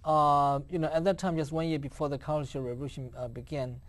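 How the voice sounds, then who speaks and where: A man's voice: on the high side, steady tone, fast. A man in his forties from China with a Chinese accent, a guest telling his life story with many "you know" fillers. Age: 40s. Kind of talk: interview answers.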